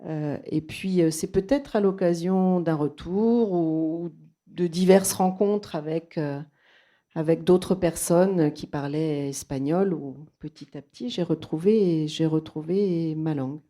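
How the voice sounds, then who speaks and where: A woman's voice: low-pitched, a little slow, warm tone. A woman from Argentina, a native Spanish speaker with a Toulouse French accent, giving a thoughtful, hesitant personal account at a bookshop talk. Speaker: psychoanalyst presenting at a bookshop talk.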